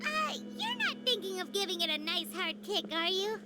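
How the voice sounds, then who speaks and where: A woman voicing a tiny, curious and excitable female companion who asks questions in game dialogue. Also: extremely high pitch, over loud music. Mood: wary. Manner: chattering, quick questions.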